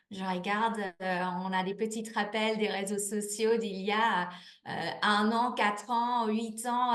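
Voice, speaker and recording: A woman in her thirties answering interview questions in a chatty, candid way, with lots of restarts and fillers. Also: on the high side. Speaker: network marketing manager, guest. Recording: echoey room.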